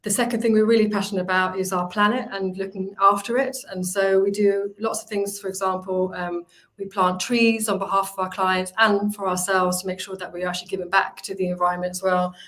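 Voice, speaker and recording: A woman's voice: mid-range voice, level tone, fairly quick, a bit bright. Chatty woman on a video call. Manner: chatty, many fillers and restarts. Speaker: recruitment company representative.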